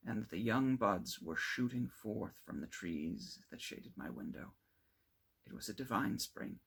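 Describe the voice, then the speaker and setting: Gravelly man reading a classic novel aloud. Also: lowish voice, fairly bright tone. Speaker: amateur audiobook reader. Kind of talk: dramatic first-person reading of a classic novel.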